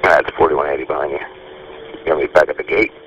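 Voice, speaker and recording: Male police officer, low pitch, clipped radio updates, muffled lo-fi scanner transmission. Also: steady tone, fairly quick. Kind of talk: terse radio dispatch traffic. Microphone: police radio handset. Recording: lo-fi police scanner with loud static.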